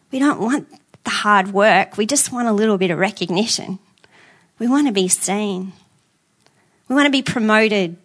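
A woman's voice: high-pitched, a bit bright. A woman preaching a conversational sermon in a church, earnest, with self-deprecating asides. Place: church auditorium with screens.

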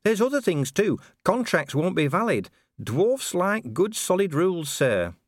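A man's voice: high-pitched, big pitch swings, a little slow, dry recording, clean studio sound, voice pushed up, full character voice. A man voicing an anxious young female dwarf in an audiobook, polite, earnest and deferential as she explains. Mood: earnest, explaining. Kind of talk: audiobook character dialogue.

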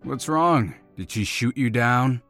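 A man's voice: medium pitch, lively intonation, slow pace, a bit bright, soft background music. An actor playing a cocky, flippant young womanizer in an audio drama, teasing and sarcastic. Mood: mocking. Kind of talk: naturalistic audio drama acting.

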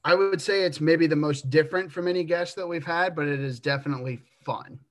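A man's voice: a little high, fairly quick. Dry-humored man in his twenties. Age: 20s. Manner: dry humor, thinking aloud with restarts. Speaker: podcast co-host. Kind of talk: casual sports podcast chat.

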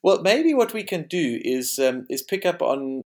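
A man's voice: on the high side, sing-song, a little slow. A man from Africa, moderating an academic panel with long, carefully framed questions. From South Africa.

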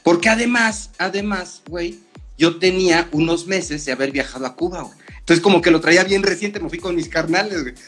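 A man's voice: on the high side, a little fast, fairly bright tone, soft background music. A man with a Mexican Spanish accent hosting a casual talk, slangy and familiar, swearing freely. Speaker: host. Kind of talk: casual conversation.

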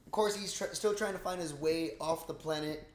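A man's voice: on the high side, moderate pace. A man co-hosting a casual movie review podcast, rambling and swearing freely as he chats. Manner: rambling, profane. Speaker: podcast co-host. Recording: roomy, echoey sound.

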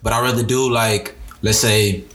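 A man's voice: fairly low pitch, steady tone, moderate pace, a bit bright, a little hiss or hum. A twenty-year-old man, a podcast guest, chatting casually and rambling with lots of "like". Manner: loose, rambling, heavy use of "like". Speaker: guest musician and designer. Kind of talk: casual interview chat. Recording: roomy echo.

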